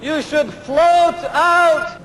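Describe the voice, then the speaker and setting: A man's voice: very high-pitched, drawn-out words, a bit bright, poor audio. A man leading protesters in a park, giving urgent instructions to a crowd outdoors. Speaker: protest leader.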